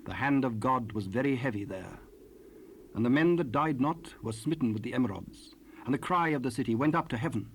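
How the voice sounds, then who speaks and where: A man's voice: mid-range voice, expressive, a little fast. A man narrating scripture in archaic English for a dramatized Bible recording. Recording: noisy raw cassette rip.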